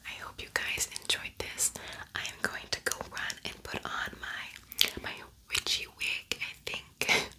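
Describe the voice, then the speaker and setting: Woman, breathy whisper, ASMR style, casual tutorial chat, gentle, encouraging, speaks straight to the viewer. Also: a little slow, rough recording. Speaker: ASMR video creator and makeup tutorial host.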